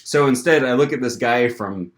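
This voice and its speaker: A man's voice: medium pitch, normal speed, slight background noise. Young man telling a story to camera. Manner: animated, lots of fillers and restarts. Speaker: language-learning vlogger.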